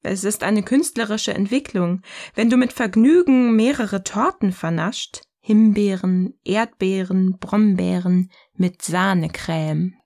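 An actress plays a self-assured, provocative woman in a philosophical audio drama. Her mid-pitched voice is poised and deliberate, delivering each line as a declaration. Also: a little mellow. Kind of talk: scripted audio-novel dialogue.

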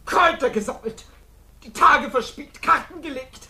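A woman's voice: high-pitched, lively intonation, normal speed, pushed and bright, heavily acted. An actress playing a stern, domineering middle-aged businesswoman in a 1950s radio drama, reproachful and scornful. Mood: bitter, scornful.

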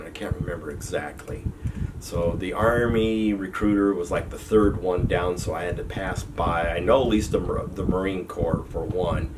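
A man in his fifties with a cold, telling army stories in a rambling, conversational way, his voice nasal and stuffy. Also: low-pitched. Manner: rambling, conversational, self-correcting. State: recovering from a cold. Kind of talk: casual storytelling to webcam. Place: small room at home, indoors. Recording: distant mic with background hiss.

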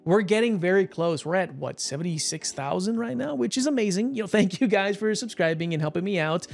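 A man giving casual, rambling commentary over gameplay, his voice hoarse and tired after a long stream. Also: high voice, animated, brisk. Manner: chatty, rambling, with frequent fillers and short laughs. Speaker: gaming YouTuber.

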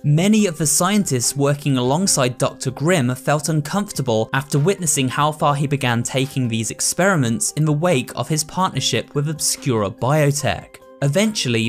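A man giving a steady, scripted narration explaining a game's story. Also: medium pitch.

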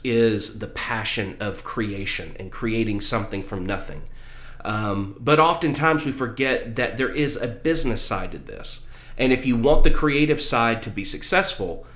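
A man's voice: mid-range voice, expressive, measured pace, a bit bright. Man vlogging in a roomy space. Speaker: vlog host, music business mentor. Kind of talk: motivational advice vlog to camera. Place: small room at home with posters, indoors.

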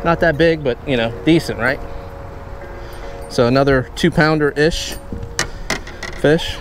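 A man's voice: fairly high pitch, unhurried, over music. A young man chatting casually while fishing outdoors and talking to the fish he catches. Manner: excited and teasing, talks to the fish. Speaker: fishing vlogger. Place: outdoors at a pond and on a small boat on a lake.

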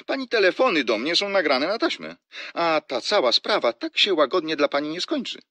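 A man's voice: on the high side, very animated, fairly quick, sharp tone, dry recording, full character voice. An actor playing an indignant man who is stern, formal and cutting, in an audio drama. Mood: cold indignation. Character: indignant man coldly warning a nuisance caller. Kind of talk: scripted audio drama acting.

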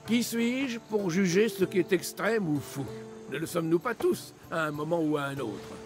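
A man's voice: sits high, animated, normal speed, music in the background. An actor playing a genial, probing male philosopher in a French game dub, musing and teasing.

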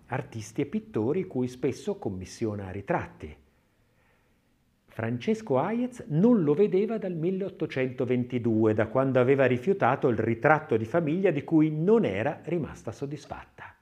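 A male audiobook narrator reads a literary documentary text. His mid-pitched voice moves through a wide, lively range of intonation. He phrases with measure and places dramatic emphasis on key words. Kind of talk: scripted documentary narration, expressive literary reading.

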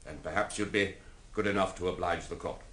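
An actor playing a pompous, probing coroner in a radio drama, formal and wordy. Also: male voice, sits low, fairly even intonation, brisk, fairly bright tone, slight background noise. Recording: echoey courtroom hall.

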